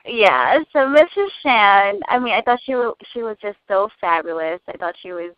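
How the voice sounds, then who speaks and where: A young woman who grew up speaking Cantonese, talking in a casual interview in an earnest, thoughtful way with frequent pauses to find her words. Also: mid-range voice, sing-song. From United States. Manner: earnest, thoughtful, many hesitations. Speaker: interview guest. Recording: dull lo-fi dry recording.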